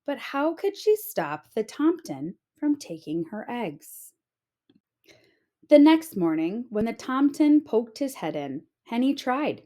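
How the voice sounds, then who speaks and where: A woman narrates a children's picture book as a storyteller. Her voice is on the high side and very animated in pitch, and she reads at a slow, measured pace. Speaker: storyteller reading aloud.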